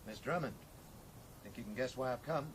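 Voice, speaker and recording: A man's voice: medium pitch, fairly quick, background noise, natural voice. An actor playing a determined, sardonic man in a radio drama, with a dry, questioning manner. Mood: probing. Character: determined, sardonic American man probing a friend's deception.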